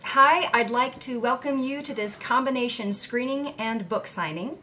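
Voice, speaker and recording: An actress playing an earnest, admiring young granddaughter in an audio drama, speaking sincerely and a little haltingly. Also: female voice, on the high side, pushed and bright, a little hiss or hum. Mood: welcoming. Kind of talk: audio drama acting, conversational.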